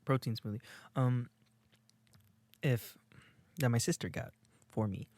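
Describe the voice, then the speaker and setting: A young man talks off the cuff on a podcast, mocking himself and restarting his sentences as he goes. His voice sits in an ordinary middle pitch. The recording is dry and close, with no room sound. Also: measured pace, darkish tone, dry recording. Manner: rambling, self-mocking, many restarts. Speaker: podcast co-host. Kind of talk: casual comedic monologue.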